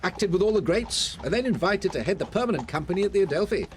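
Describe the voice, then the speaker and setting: A man's voice: high-pitched, lively intonation, quick pace, a little hiss or hum. A man playing an earnest, affable Victorian doctor in a radio drama, speaking in an eager, polite way.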